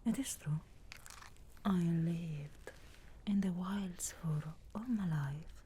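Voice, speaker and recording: A woman voicing a sarcastic, guardedly caring witch of the wilds in a slow, breathy whisper for an ASMR fantasy roleplay. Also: lowish voice, mellow, slight background noise. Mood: reflective, guarded. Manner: slow, measured, archly formal phrasing.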